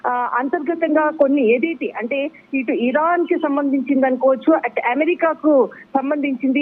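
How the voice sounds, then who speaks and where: A man giving an unscripted live news report as a bureau chief over a tinny phone line, halting and wordy, often repeating himself. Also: very high-pitched. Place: on a phone call into a newscast. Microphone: telephone.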